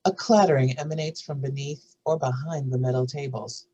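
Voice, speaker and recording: A woman with a husky voice reading screenplay stage directions as a narrator. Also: a bass voice, fairly even intonation, normal speed, dark tone, dull audio.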